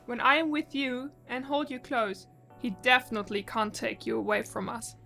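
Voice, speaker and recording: A woman's voice: a little high, moderate pace, gravelly, pushed and bright, soft background music. An actress playing an affectionate, clingy young girl-group singer in an audio drama, tender and pleading in manner. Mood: tender reassurance. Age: young adult.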